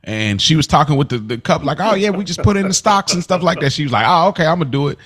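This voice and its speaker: A man co-hosting a casual podcast, rambling and animated as he acts out scenes from the show. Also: on the high side, quick pace, husky. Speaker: podcast co-host. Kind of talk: casual chat.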